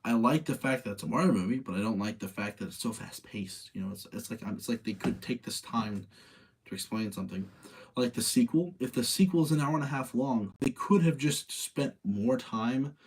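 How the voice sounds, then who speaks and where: A man with a husky, slightly rough voice at an average pitch, talking casually like a livestream host. He argues his opinions out loud and circles back to repeat phrases as he addresses his viewers. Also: animated, moderate pace, rough recording. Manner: opinionated, self-debating, repeats phrases for emphasis. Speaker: streamer hosting a live movie-rating chat. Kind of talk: casual chat with live audience.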